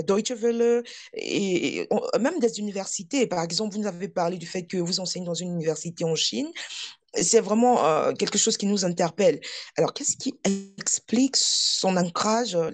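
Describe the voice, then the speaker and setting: A woman hosting a podcast, interviewing a guest in a measured, formal way. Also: lowish voice. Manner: measured, formal phrasing with fillers. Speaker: podcast host.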